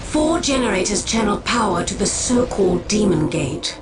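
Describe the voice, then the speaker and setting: Haughty alien elf seer played by a woman, expressive intonation. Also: medium pitch, background noise, lightly acted. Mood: calculating, aloof. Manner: haughty, measured, theatrical. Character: aloof, disdainful female alien elf seer. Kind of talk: video game cutscene acting. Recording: music behind the voice.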